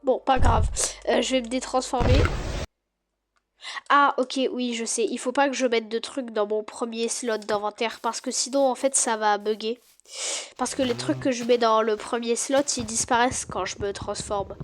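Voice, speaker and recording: A woman with a stuffy cold, chatting excitedly to her viewers as she plays and comments on a game. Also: on the high side, normal speed, a little hiss or hum. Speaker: gaming YouTuber. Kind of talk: casual gameplay commentary.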